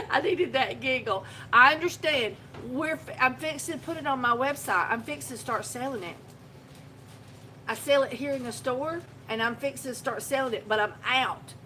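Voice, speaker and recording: Chatty woman with a bright voice. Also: high-pitched, lively intonation, unhurried, long pauses, a little hiss or hum. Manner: rambling, chatty storyteller who laughs often. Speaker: soap shop owner hosting a live video. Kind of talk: casual live-stream chat. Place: in her own shop. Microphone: phone live video.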